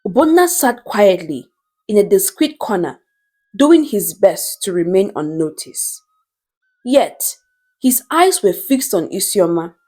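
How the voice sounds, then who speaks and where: Woman telling a dramatic story, slow n deliberate, lots of up and down in her voice. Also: medium pitch, a little mellow. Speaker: storyteller, narrator. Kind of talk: scripted dramatic storytelling narration.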